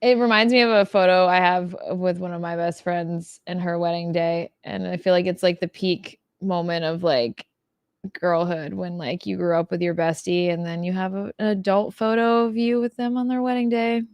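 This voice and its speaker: A woman's voice: on the low side, fairly even intonation, normal speed, clear, resonant tone, no room sound. A woman co-hosting a casual podcast over a video call, with wry, self-deprecating humor and playful asides. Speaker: podcast co-host. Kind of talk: casual chat. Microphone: video call setup.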